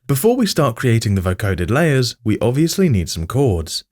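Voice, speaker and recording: A man delivers scripted tutorial narration as a host would, with a pitch that moves around in an animated way. His voice sits in a middle register. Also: moderate pace. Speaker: music production tutorial host.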